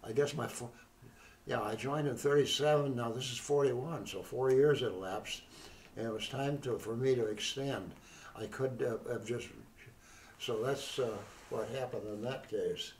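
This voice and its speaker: Elderly male interviewee, halting storyteller, distant mic in a roomy space. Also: mid-range voice, slight background noise. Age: older. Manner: rambling, halting recollection with many restarts. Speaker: veteran interviewee. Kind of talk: oral history interview.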